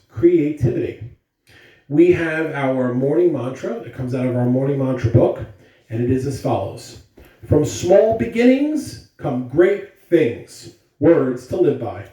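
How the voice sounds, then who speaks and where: A man's voice: a little high, animated, a little slow, dark tone. A man speaking simply and directly to children, as in school morning announcements and story read-alouds. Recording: echoey room, distant mic, faint noise.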